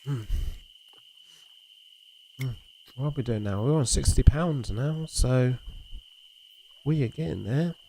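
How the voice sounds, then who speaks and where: A man hosting a game livestream, chatting in a relaxed, rambling way with a gravelly voice. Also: mid-range voice, slow pace, long pauses, slight background noise, poor audio. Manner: relaxed, rambling, talks to chat and reads messages aloud. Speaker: livestream host. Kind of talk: casual chat while streaming a fishing game.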